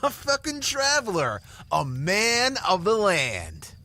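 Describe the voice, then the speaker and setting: A woman's voice: medium pitch, huge pitch swings, slow, sharp tone, exaggerated voice. A woman playing a brash, flirty, foul-mouthed camper in an audio drama. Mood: brash, teasing.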